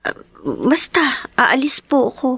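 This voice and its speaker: A woman with a Filipino accent acting a devoted, dutiful teenage daughter in a Tagalog radio drama, respectful and soft-hearted in manner. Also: high voice, very animated, slow pace, gravelly, husky, no echo, full character voice. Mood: tender pleading.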